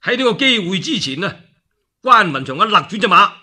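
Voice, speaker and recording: A man's voice: high-pitched, very animated, fairly quick, pushed and bright. A man with a Cantonese accent, a radio storyteller narrating a classical war tale theatrically, with exclamations and spoken sound effects.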